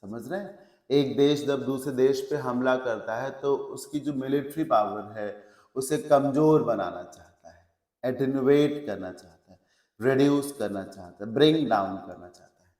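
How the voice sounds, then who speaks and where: A man's voice: mid-range voice, unhurried, darkish tone, reverberant, distant mic. A male English teacher giving an online vocabulary lesson, explaining each word in Hindi mixed with English terms, listing synonyms and checking in with his students. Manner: checks in with the class.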